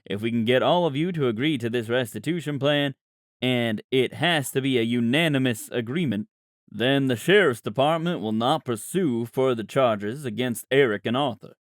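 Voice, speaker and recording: A man voicing an earnest father in an audiobook, speaking in a measured, official way. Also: mid-range voice, no echo, studio quality, natural voice. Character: earnest father pleading a restitution plan. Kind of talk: audiobook character voice.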